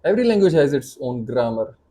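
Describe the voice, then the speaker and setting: A man's voice: mid-range voice, sing-song, a little fast, mellow. A man in his thirties with an Indian accent, a native Hindi speaker, giving an emphatic spoken English lesson to camera, repeating and restarting phrases as he pushes his point.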